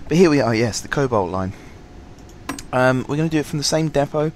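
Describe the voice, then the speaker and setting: A man with a sore throat, slightly husky, casually commenting on a game through a headset, rambling and apologetic with many ums. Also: medium pitch, expressive, fairly quick, slight background noise. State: sore throat, fighting coughs. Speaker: gaming channel host. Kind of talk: casual let's-play commentary.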